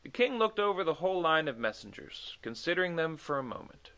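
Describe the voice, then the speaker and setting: A man reading a children's book aloud as an expressive, dramatic storyteller. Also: fairly high pitch, brisk, clean tone, rough recording. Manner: dramatic, animated. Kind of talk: expressive bedtime story narration.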